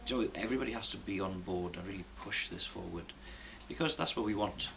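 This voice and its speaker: Low gravelly man, halting and thoughtful. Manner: thoughtful, hesitant with many ums and restarts. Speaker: Welsh language tutor. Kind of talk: radio interview answers. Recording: noisy background hiss.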